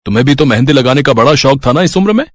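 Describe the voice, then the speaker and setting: A man's voice: medium pitch, expressive, rapid-fire, dry recording, clean studio sound, natural voice. Man playing a teasing easygoing cartoon dad. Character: good-humored middle-aged father and husband.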